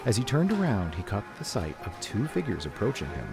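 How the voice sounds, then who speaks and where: Deep-voiced, animated man narrating a story. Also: fairly quick. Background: music.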